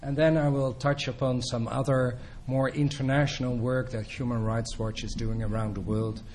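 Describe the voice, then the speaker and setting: A man with a Dutch accent gives a lecture at a measured, hesitant pace and often stops on filler sounds. His pitch sits in a middle range. Faint room ambience can be heard behind him. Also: little pitch movement, darkish tone, a little hiss or hum. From Netherlands. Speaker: guest speaker. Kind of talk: public lecture.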